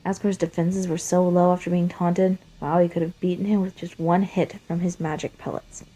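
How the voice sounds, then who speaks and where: A woman's voice: lowish voice, little pitch movement, normal speed, warm tone, low-quality recording. A woman reading and chatting as an amateur fan fiction writer and narrator. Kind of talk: scripted story narration and casual chat.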